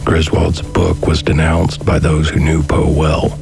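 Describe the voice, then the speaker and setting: Super low creaky guy narrating a documentary, pretty flat, music behind him. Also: unhurried.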